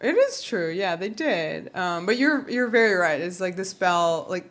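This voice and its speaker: A woman chats casually as a podcast co-host. Her pitch moves around a lot, and she speaks emphatically and informally, laughing easily. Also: expressive, a little slow. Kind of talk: casual pop-culture podcast chat.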